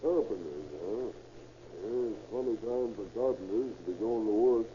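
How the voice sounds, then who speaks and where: A man's voice: fairly low pitch, moderate pace, warm tone, close to an everyday voice. An actor playing a suspicious, doubtful night patrol policeman in an old-time radio drama. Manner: questioning, doubtful. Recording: muffled, faintly noisy vintage radio recording.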